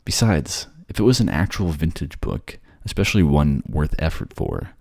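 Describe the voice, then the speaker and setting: Very deep, gravelly sardonic ghost played by a man. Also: big pitch swings, moderate pace, a little mellow, no room sound, clean studio sound, full character voice. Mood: irritated, snarky. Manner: snarky, conversational, teasing. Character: sardonic bored male ghost haunting a library. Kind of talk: ASMR audio roleplay. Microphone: close, dry microphone.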